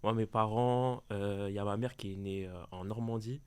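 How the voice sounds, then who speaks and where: A young man on a round-table podcast, talking in a hesitant way with many pauses. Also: fairly low pitch, steady tone, a little mellow, clean tone. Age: young adult. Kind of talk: casual round-table chat.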